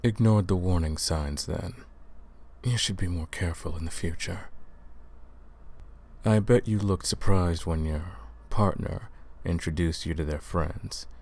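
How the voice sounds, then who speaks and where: Guy doing a super deep centuries old vampire hunter, stern, bossy, deliberate, interrogating you in an audio roleplay. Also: darkish tone. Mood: probing, dry. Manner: commanding, deliberate, questioning. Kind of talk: roleplay audio drama addressed to the listener.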